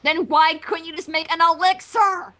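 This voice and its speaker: High, bright, excitable woman commentator. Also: moderate pace. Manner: excitable, dramatic. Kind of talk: playful in-character commentary on a story reading.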